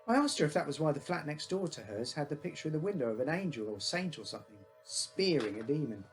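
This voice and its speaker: A man's voice: fairly high pitch, very animated, fast, soft background music. A man reading his own short story aloud as a first-person narrator, in simple childlike phrasing.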